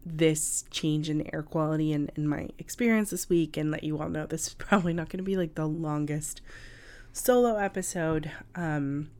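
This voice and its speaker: A woman podcast host talking casually and informally on her own, her voice raspy and hoarse from a cold, in a halting way. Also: low voice, fairly even intonation, a little mellow. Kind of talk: casual solo podcast talk. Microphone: cough drops in mouth, podcast recording.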